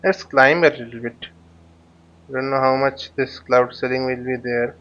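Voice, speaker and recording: A man giving live tutorial commentary as he flies a simulator, speaking haltingly and often repeating or rephrasing himself. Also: mid-range voice, level tone, fairly bright tone, highs cut off. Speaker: flight simulator instructor and channel host.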